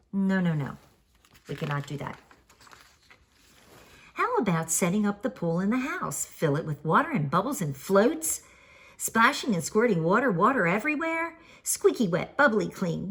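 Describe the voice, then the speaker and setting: An older woman reading a children's storybook aloud in a theatrical, sing-song way with emphatic questions and answers. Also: medium pitch. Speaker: author reading her picture book aloud.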